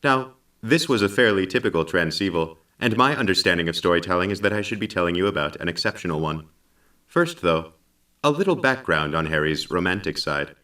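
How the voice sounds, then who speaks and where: Audio drama AI companion, male actor: deep, polite, detached narrator, recorded a little distant. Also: expressive, pushed and bright. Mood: calm, detached. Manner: measured, formal, detached. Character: male AI companion robot, formal, loyal, detached storyteller.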